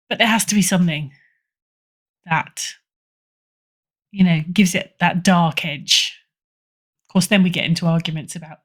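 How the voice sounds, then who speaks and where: A woman with a medium-pitched voice speaks as a guest in a casual interview. She is thoughtful in how she explains things, pausing to give weight to key words. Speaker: podcast guest, fiction editor.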